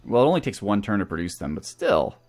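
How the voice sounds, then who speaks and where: Male let's play host, fairly low voice, casual thinking-aloud commentary, faint game music underneath. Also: very animated, quick pace, a little mellow.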